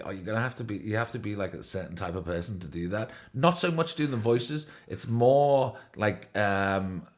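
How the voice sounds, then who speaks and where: A podcast host with a fairly low male voice talks in a loose, rambling way. He piles up fillers and casual swearing as he chats. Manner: rambling, profane, many fillers. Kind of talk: casual chat.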